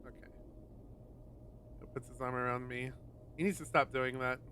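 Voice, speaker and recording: A man streamer reading a visual novel aloud and adding chatty, animated asides. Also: mid-range voice, moderate pace, slight background noise.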